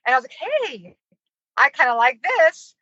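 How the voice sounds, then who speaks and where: A bright, fairly high-voiced American woman talks in a chatty, giggly manner, full of fillers. She sounds like an interview guest on a dry, dull, lo-fi remote call. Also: animated, moderate pace, no echo. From United States. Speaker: marketing consultant and professor. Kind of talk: casual interview storytelling.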